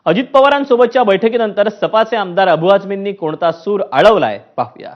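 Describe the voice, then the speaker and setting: Male news presenter, very high voice, emphatic formal read, music underneath. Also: fairly quick. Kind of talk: scripted news narration. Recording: muffled broadcast audio with music bed. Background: news music bed.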